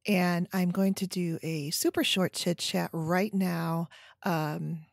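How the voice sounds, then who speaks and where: Woman, scratchy voice, lowish pitch, chatty and halting, headset mic, dry room. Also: steady tone, gravelly, dry recording. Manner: chatty, lots of ums and trailing pauses. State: scratchy voice from a sinus infection. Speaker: podcast host. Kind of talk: solo casual chat. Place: home room with bookshelves.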